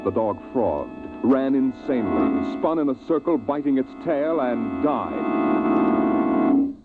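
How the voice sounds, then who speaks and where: A man's voice: medium pitch, animated. Solemn male radio narrator, sweeping intonation. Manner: solemn, theatrical, deliberate phrasing. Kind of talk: dramatic scripted 1950s radio narration. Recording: tinny vintage radio recording, music behind.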